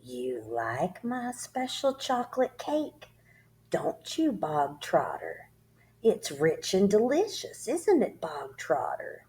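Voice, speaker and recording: A woman voicing a tyrannical headmistress who barks insults and shouts at a pupil in a storybook reading. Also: low voice, big pitch swings, slow pace, vocal fry, heavily acted. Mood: mock sweet. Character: bellowing tyrannical female headmistress, bullying.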